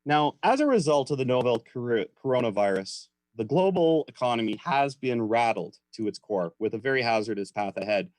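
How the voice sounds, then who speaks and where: A man's voice: mid-range voice, dry recording. A man hosting an academic webinar, giving a prepared, formal introduction. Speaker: webinar host introducing a speaker.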